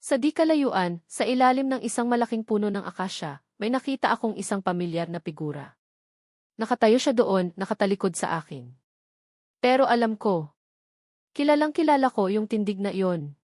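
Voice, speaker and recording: Woman, romance storyteller, scripted first-person narration, dramatic, sentimental, mid pitch, dry recording. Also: lively intonation, moderate pace, a little mellow, no echo.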